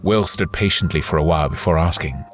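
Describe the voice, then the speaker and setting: A man's voice: deep voice, quick pace, faint music behind. A man reading scripted narration for a science fiction audio adventure.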